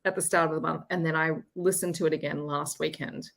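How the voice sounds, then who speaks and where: Casting: female book club panelist, deep for a woman, gravelly creak, conversational delivery. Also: steady tone, fast, fairly bright tone. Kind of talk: casual book chat.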